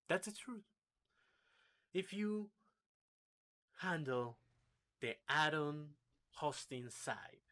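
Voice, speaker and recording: A man with a Spanish accent and Spanish as his native language, delivering an unscripted, opinionated rant in a halting, repetitive, blunt and sweary way. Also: medium pitch, bright, forceful tone. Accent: Spanish-speaking. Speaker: video creator.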